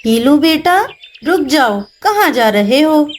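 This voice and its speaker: Woman acting a high-voiced protective mother bird. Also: big pitch swings, slow, heavily acted. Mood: alarmed. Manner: motherly, firm. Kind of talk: Hindi children's cartoon voice acting.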